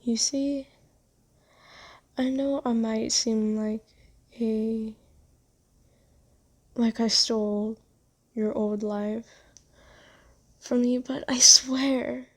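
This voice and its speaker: A woman voicing a kind but possessive female vampire owner in an ASMR roleplay, soothing and genteel, coaxing gently in halting phrases. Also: fairly high pitch, exaggerated voice. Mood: earnest, protective. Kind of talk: ASMR roleplay, scripted monologue.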